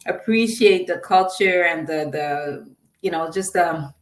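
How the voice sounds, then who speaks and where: Canadian woman guest, laughs a lot, lowish voice, roomy echo. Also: measured pace. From Quebec, Canada. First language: French. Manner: laughs often, warm and chatty. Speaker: singer-songwriter guest. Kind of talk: casual interview chat. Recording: echoey room.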